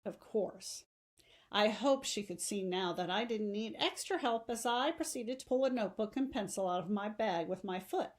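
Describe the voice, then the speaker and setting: A woman reads a novel aloud in an expressive, audiobook-style storytelling delivery. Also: medium pitch, normal speed. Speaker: teacher reading a novel aloud.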